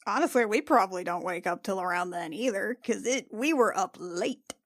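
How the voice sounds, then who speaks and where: A woman's voice: mid-range voice, moderate pace, dry recording, studio quality. A woman playing a tabletop roleplaying game, chatting in a casual, slangy, improvised way. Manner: slangy, conversational.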